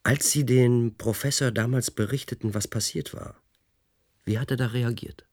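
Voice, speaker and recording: A man's voice: mid-range voice, steady tone, moderate pace, darkish tone, close to an everyday voice. An actor playing an agitated, suspicious man in a German radio play, speaking with probing, repetitive insistence. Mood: probing, insistent.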